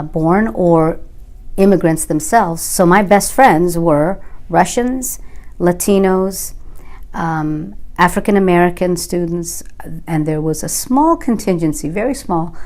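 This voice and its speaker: A woman in her fifties from California, a native Sicilian and Italian speaker, giving measured, thoughtful oral history answers with frequent pauses. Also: fairly low pitch, dark tone. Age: 50s. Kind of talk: reflective interview answers.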